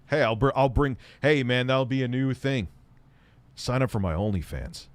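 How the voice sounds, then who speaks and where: A man's voice: mid-range voice, moderate pace, no echo. Profane, rambling male livestream host. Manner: profane, rambling, animated, laughs often. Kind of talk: casual livestream chat reading viewer comments.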